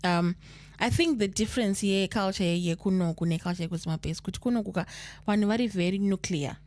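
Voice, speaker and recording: A woman's voice: medium pitch, quick pace, no echo. A Zimbabwean woman co-hosting a podcast, chatting casually and reading a listener letter, slipping into Shona now and then. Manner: relaxed, repeats phrases, mixes Shona into English. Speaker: podcast co-host.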